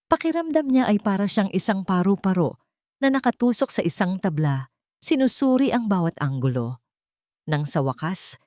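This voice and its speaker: Low-pitched Filipino woman narrating romance. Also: darkish tone, dry recording, studio quality. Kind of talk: scripted romance story narration.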